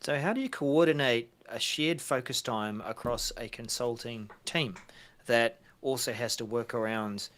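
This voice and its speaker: A man's voice: medium pitch, measured pace, a bit bright, a little hiss or hum. A man co-hosting a tech news show in casual, unscripted conversation, phrasing things in short, hesitant pieces.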